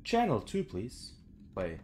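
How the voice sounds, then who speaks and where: A man's voice: fairly low pitch, wildly swooping pitch, takes it slowly, a little mellow, soft background music. A young man giving casual, sweary let's play commentary, muttering to himself as he goes. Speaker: gaming video host. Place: small room indoors at home.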